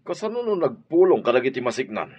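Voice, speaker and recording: A man's voice: mid-range voice, big pitch swings, quick pace, a little mellow, slightly rough, dull audio. A man playing a wary, argumentative, grumbling husband in an audio drama. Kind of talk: Ilocano audio drama acting.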